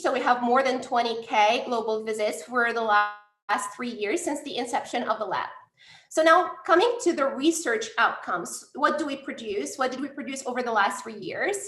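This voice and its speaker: A woman's voice: high-pitched, a bit bright, echoey room. A woman from Tunisia, a lab director, giving a conference talk with frequent fillers and restarts. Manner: hesitant, many fillers and restarts. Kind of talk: conference presentation.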